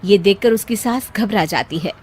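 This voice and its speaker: A woman's voice: medium pitch, normal speed, darkish tone, husky. A woman narrating a scripted cartoon story as a storyteller.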